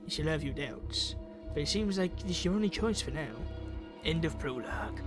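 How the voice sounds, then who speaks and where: A man's voice: fairly high pitch, animated, moderate pace, music in the background. A man reading a visual novel aloud for a gaming video in a dramatic, theatrical way. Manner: theatrical, acts out the dialogue.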